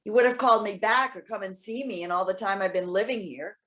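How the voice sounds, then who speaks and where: A woman's voice: mid-range voice, a bit bright, close to an everyday voice. A woman playing a stubborn, lovelorn elderly mother in a readers theater drama, with a curt, sullen way of talking. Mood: bitter, hurt. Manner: curt, sullen, halting when pleading. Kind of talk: readers theater stage acting.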